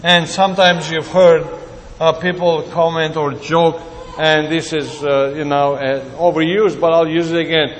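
A man preaching a sermon in a slow, deliberate way, with pauses and fillers, in a church. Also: a little high, flat delivery, slight background noise. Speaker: pastor. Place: church sanctuary. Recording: church hall reverb.

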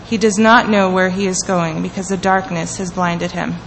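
A woman's voice: on the low side, steady tone, normal speed, vocal fry. A woman earnestly reading scripture and leading a prayer in a church. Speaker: scripture reader and prayer leader. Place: church sanctuary. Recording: church hall reverb with faint room noise.

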